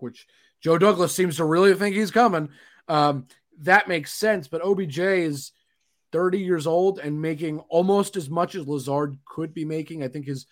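A man hosting a sports talk show, chatty and steering the conversation between co-hosts. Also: fairly high pitch. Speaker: podcast host.

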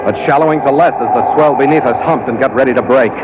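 A man's voice: medium pitch, fast, natural voice. An actor playing a seasoned sea captain, narrating his log in a 1940s radio adventure style, moving between clipped entries and lyrical storytelling. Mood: vivid, absorbed. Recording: dull vintage radio recording with music.

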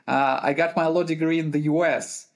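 A man from Uzbekistan, a compliance lawyer and podcast guest, answering questions in a measured way, pausing to find his words in non-native phrasing. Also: fairly high pitch, fairly even intonation. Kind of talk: thoughtful conversational answers. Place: remote call, based in Europe.

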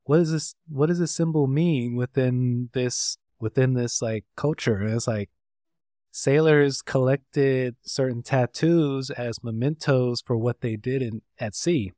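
A man from North Carolina, a guest artist, talking in a relaxed, rambling way with lots of fillers. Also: mid-range voice, unhurried, dry recording, studio quality. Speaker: interview guest, artist and tattooist. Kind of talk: casual conversation.

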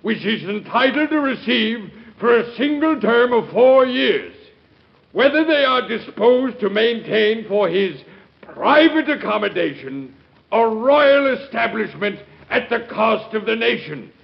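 Very high, creaky pompous congressman. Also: sing-song, a little slow, full character voice. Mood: pompous, indignant. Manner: pompous, declamatory. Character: indignant congressman orator denouncing presidential extravagance. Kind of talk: oratorical radio drama speech. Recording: muffled vintage radio recording.

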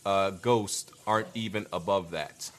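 A man's voice: sits low, a little slow, a bit bright, a little hiss or hum. A man hosting a casual livestream, reading viewer comments aloud and adding dry, laid-back remarks. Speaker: host reading viewer super chats.

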